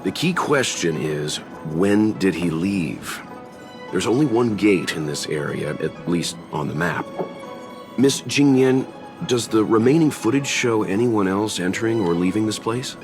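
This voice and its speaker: A man playing a calm, analytical, courteous investigator in a video game, matter-of-fact in his delivery. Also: on the low side, measured pace, over music. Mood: focused, polite.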